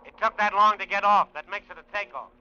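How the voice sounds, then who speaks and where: A man's voice: high voice, fast talker, clear, resonant tone. A man playing a cocky, easygoing American test pilot in a 1950s radio drama, talking in breezy slang over a cockpit radio. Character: cocky, easygoing male test pilot flying a rocket plane. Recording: dull vintage radio recording.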